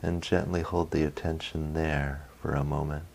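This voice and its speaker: A man leading a guided meditation as a guide, speaking gently in short phrases with long pauses. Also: extremely low pitch, little pitch movement, vocal fry, slight background noise.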